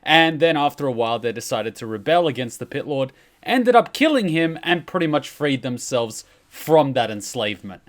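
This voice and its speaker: A man's voice: medium pitch, very animated, moderate pace. A man commentating casually, explaining a story in an informal recap.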